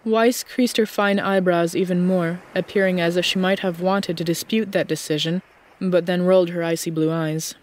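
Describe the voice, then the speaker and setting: A woman's voice: fairly low pitch, fairly even intonation, moderate pace. A woman reading scripted story narration as the narrator of an audiobook.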